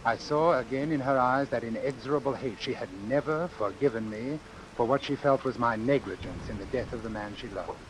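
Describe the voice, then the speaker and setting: A man's voice: mid-range voice, a little fast, background noise, close to an everyday voice. Coldly precise doctor played by a man. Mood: coolly reflective. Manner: precise, formal, clinical diction. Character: coldly calculating, articulate male doctor, morbid and imperious. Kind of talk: vintage radio suspense acting and narration.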